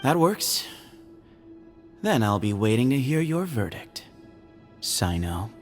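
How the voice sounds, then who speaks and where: An actor playing a calm, firm young temple leader who speaks with measured authority. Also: male voice, mid-range voice, soft background music. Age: young adult. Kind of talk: video game voice acting.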